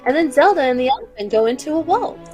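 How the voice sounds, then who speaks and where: A woman plays an eager young woman in a vintage radio play, brimming with enthusiasm. Her voice sits somewhat high. Faint music plays under her lines. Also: lively intonation, quick pace, a little mellow. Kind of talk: 1940s radio acting, table read.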